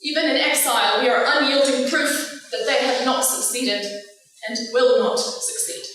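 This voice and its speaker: A woman from Palestine gives a prepared speech at a lecture, with a measured and impassioned delivery. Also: high-pitched, a bit bright, slight background noise. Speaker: guest speaker. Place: large lecture hall. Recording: echoey hall recorded from far off.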